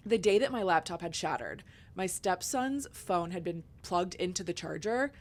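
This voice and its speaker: A woman hosting a podcast, her voice hoarse from getting sick, chatting casually and laughing often. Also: on the low side, lively intonation, moderate pace. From Ohio, US. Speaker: podcast host.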